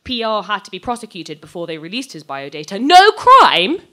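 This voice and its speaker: A young adult woman giving a live, scripted dramatic reading of fiction on stage into a microphone, moving between measured narration and conversational dialogue. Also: medium pitch, wildly swooping pitch, sharp tone. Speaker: reader of a short story. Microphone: handheld-type microphone on a stand, close.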